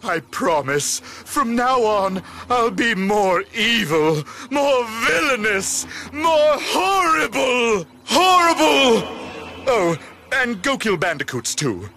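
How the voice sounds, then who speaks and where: An actor playing a pompous, petulant mad-scientist uncle in a cartoon video game, ranting with theatrical, over-enunciated delivery. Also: male voice, very high-pitched, very animated, slow pace, vocal fry, sharp tone, full character voice. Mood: gleefully proud.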